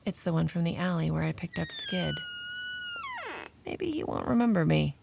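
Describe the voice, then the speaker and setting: A woman's voice: deep voice, animated, normal speed, creaky, background noise, heavily acted. A woman playing a sardonic vampire researcher in an audio drama, narrating in a wry, profane, conversational way. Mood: uneasy. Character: Aurora, sardonic young female vampire researcher narrating her exploits. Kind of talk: first-person audio drama narration and dialogue.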